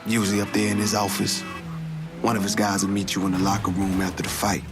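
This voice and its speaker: A man playing a chatty, excitable passenger in a crime video game. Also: fairly low pitch, quick pace, lightly acted. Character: talkative nervy male passenger in crime game. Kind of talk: naturalistic video game acting. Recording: loud music and car engine behind.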